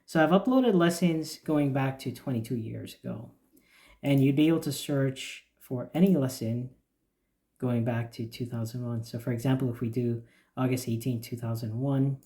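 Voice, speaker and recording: A man explaining the features of a church website in a steady, plain walkthrough with occasional fillers. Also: mid-range voice, normal speed. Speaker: church website developer giving a demo. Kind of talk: screen-recorded software walkthrough.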